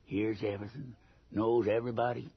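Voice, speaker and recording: Very raspy, gravelly scheming man. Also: fairly low pitch, level tone, a little fast. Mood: gloating, scheming. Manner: gruff, conspiratorial. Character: scheming, domineering small-town male ringleader. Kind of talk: radio drama acting. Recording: muffled old radio drama recording.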